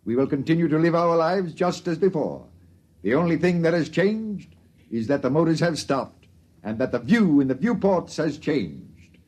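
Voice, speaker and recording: An actor playing a stern priest who makes booming, authoritative proclamations in a 1950s radio drama. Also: male voice, very animated, moderate pace, a little mellow, slightly rough. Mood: commanding. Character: stern commanding male priest, middle-aged. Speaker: priest addressing the ship's people. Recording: faint hiss of an old radio broadcast.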